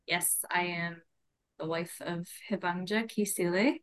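A young woman who grew up in California, chatting casually and softly as a guest on a video call. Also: lowish voice, fairly even intonation, measured pace. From California, Bay Area. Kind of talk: casual interview chat.

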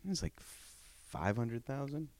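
A man's voice: medium pitch, big pitch swings, slow, a little mellow, no room sound. A young man from North Carolina, a comedian guest telling rambling, laid-back stories in a casual podcast chat. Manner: rambling, laid-back storytelling with many fillers.

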